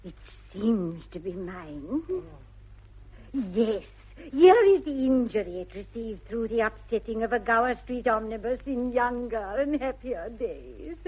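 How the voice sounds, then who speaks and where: A woman's voice: a little high, animated, measured pace, breathy edge. A middle-aged woman with an English accent playing a prim, fussy spinster governess in a radio comedy, speaking with precise deliberation. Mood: fussy, pleased. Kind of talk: classic British radio comedy acting. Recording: dull old radio recording.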